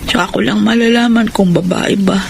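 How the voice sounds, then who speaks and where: A woman's voice: mid-range voice, steady tone, fairly quick, gravelly, background noise, natural voice. An actress in a Filipino radio drama playing a weary, meek young mother who speaks with plaintive emotion. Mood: weary, embarrassed. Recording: music under the voice.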